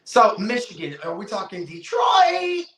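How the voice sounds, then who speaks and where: A man in his thirties hosting a casual interview podcast, teasing and playful with quick exclamations. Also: high voice, huge pitch swings, vocal fry, fairly bright tone, echoey room, shouting. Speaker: podcast host.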